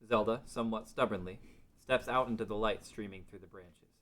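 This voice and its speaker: A man's voice: lowish voice, steady tone, fairly quick, a bit bright. A man narrating a scripted fantasy story as part of a table read.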